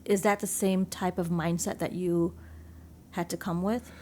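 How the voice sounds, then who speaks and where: Attentive female interviewer with a lower voice and slight background hiss. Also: flat delivery, measured pace, mellow. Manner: attentive, short affirmations. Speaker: podcast host. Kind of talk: interview questions.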